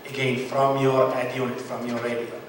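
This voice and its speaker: A company president introducing himself on a panel, brisk and plain. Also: male voice, mid-range voice, flat delivery. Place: event room. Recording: echoey hall mic from far off, background noise.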